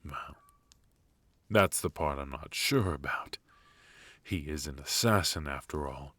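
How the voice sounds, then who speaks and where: A man playing a brooding, protective knight in an intimate ASMR roleplay, speaking in a halting, earnest, hushed way. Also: very deep voice, no echo, heavily acted. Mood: pensive, uncertain. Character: brooding, protective male knight devoted to an outlawed royal. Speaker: voice actor.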